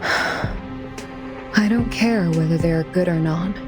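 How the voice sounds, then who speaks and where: Very slow, conflicted woman. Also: lowish voice, music in the background. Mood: troubled. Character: weary, conflicted woman doubting her choice. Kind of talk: video game voice acting.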